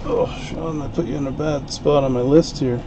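A man hosting a live card break, calling out each card in an unhurried, chatty way. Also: on the high side, steady tone, noisy background. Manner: unhurried, chatty play-by-play while opening packs. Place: home card-break room, indoors.

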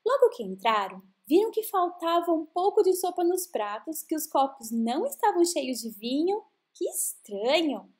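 A woman with a Brazilian accent narrating a scripted fairy tale for children, with expressive, drawn-out emphasis. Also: very high-pitched. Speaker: children's storyteller.

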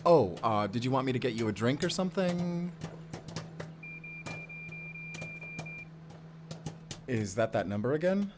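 A man acting as a playful, animated boyfriend type. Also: medium pitch, fairly quick, close to an everyday voice. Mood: hesitant, considerate. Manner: playful, gently teasing, chuckling. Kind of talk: intimate audio roleplay acting addressed to the listener.

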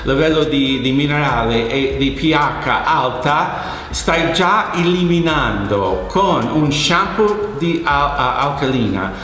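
A middle-aged man, explaining informally to camera as a hairdressing educator, halting and emphatic, often repeating words. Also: on the high side, expressive, a bit bright. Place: indoors, hair salon with wash basin. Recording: very echoey, reverberant room.

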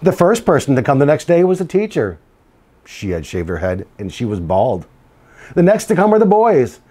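A middle-aged man reading a children's storybook aloud in an expressive, deliberate way with dramatic pauses. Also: a little high. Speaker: school administrator reading aloud. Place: small indoor office. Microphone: lapel mic.

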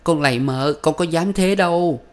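A man voicing a terrified young female servant who pleads in a high, shrill, sobbing voice. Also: moderate pace, close to an everyday voice. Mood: pleading, sobbing.

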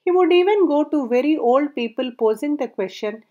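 A woman narrating a folk tale aloud in a measured storybook reading, her sentences falling at the ends. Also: high voice, animated, dark tone, dull audio.

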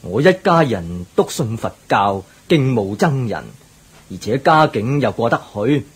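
An actor playing a devout, hospitable middle-aged shopkeeper in a Cantonese radio drama, speaking politely and reassuringly in a husky voice. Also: male voice, medium pitch, animated, measured pace. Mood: earnest, hospitable. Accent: Cantonese, Hong Kong.

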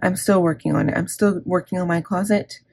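Woman, middle-aged planner vlogger, gravelly and husky throat, candid rambling chat with self-corrections. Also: on the low side, fairly even intonation, a little fast, a little mellow. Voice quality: gravelly, scratchy. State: scratchy, gravelly throat from inhaler. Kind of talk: casual plan-with-me chat. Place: indoors at a desk.

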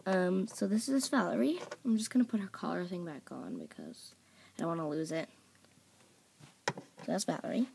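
A woman's voice: lowish voice, lively intonation, normal speed, vocal fry, long pauses, noisy background. A woman casually introducing characters off-camera, rambling with lots of "like" and "um" and correcting herself as she goes. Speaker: channel host presenting toy characters for voice auditions. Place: indoors at home, toys on a table. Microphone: phone or camera mic, speaker off-camera.

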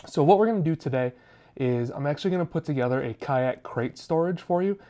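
A man's voice: fairly high pitch, expressive, a little fast, mellow. A man, narrating a how-to video in a scripted, explanatory style.

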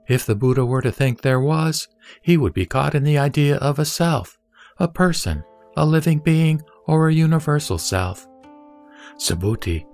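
A man playing the Buddha as a serene teacher, speaking in a measured, solemn way in an audio drama of scripture. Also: on the low side, a little mellow. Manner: measured, solemn, didactic delivery. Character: the Buddha, serene male teacher instructing a disciple. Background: background music.

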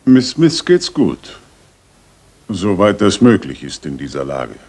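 An actor playing a detective's loyal companion, an earnest and good-natured doctor, in a 1980s German radio drama. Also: male voice, low-pitched, huge pitch swings, slow, a little mellow, exaggerated voice. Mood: concerned. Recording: slightly noisy retro radio recording.

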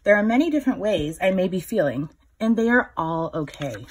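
A woman reading a picture book aloud to young children in a gentle, instructive way, with a slightly husky voice. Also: mid-range voice, animated. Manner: gentle, instructive, pausing to put questions to the child listener. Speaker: children's story reader.

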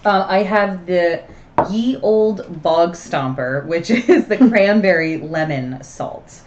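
A woman's voice: fairly low pitch, slow, a little mellow, dull audio. A woman in her thirties co-hosting a casual livestream chat from a small room, chatty and upbeat. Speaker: co-host.

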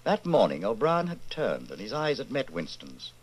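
A man with a lowish, animated voice narrates a radio drama. His phrasing is deliberate and ominous, in an old-time theatrical style. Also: breathy edge.

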